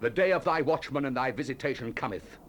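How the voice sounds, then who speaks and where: An actor playing a solemn biblical prophet in a dramatized scripture recording, declaiming judgment and lament. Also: male voice, medium pitch, lively intonation, fast, fairly bright tone, natural voice. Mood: stern, denouncing. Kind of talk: dramatized scripture reading, 1970s audio drama. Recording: hissy 1970s cassette tape.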